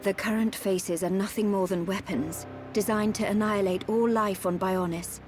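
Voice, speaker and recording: A woman playing a gentle, sorrowful member of a machine race in a video game, formal and measured. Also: mid-range voice, fairly even intonation, faint music behind, lightly acted. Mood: solemn. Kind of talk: video game cutscene acting.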